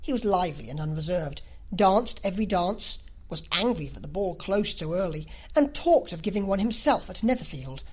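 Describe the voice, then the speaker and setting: A woman's voice: lowish voice, lively intonation, moderate pace, a little mellow, husky. A woman reading a classic novel as an audiobook narrator, in a scripted literary style.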